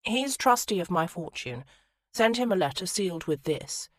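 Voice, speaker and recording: A woman's voice: lowish voice, sing-song, moderate pace, dry recording, studio quality. A woman voicing a stern, commanding young aristocrat in an audiobook, speaking in firm, clipped commands.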